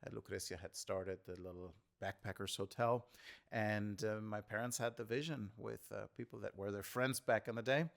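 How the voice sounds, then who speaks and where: A middle-aged man from Germany, a tour guide answering interview questions in a measured way with frequent fillers. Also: lowish voice, fairly even intonation, vocal fry. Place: indoor shop or venue.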